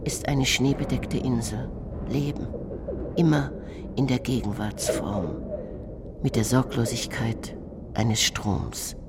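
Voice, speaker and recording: A woman performing poetry in a radio drama in a hushed manner, over music. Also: rumbling low, slow, pauses often, heavy background noise. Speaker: performer reciting German translation. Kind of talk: poetic radio drama recital. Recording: radio production with loud music underneath.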